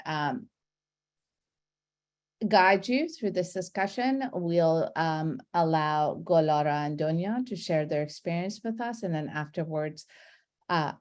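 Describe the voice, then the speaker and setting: A woman from Iran, middle-aged, moderating an online book discussion over a video call, effusive and emphatic and unhurried, with pauses. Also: fairly low pitch, darkish tone.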